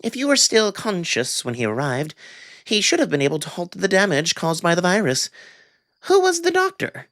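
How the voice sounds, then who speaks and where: An anxious alien cat man from a visual novel, acted out by a man. His intonation swings wildly as he pries and dodges questions. The voice is fairly high for a male and recorded very dry. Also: wildly swooping pitch, moderate pace, a bit bright, no echo, heavily acted. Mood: suspicious, probing.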